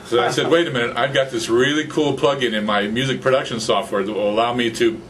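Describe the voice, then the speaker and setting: A man's voice: mid-range voice, quick pace, a little hiss or hum. A man in his fifties, a podcaster, chatting animatedly in an office interview, recorded on a studio microphone. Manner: animated, gestures a lot, gets excited. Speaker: podcaster and guest. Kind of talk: casual interview chat. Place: small office with computers. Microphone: studio condenser mic on stand in front.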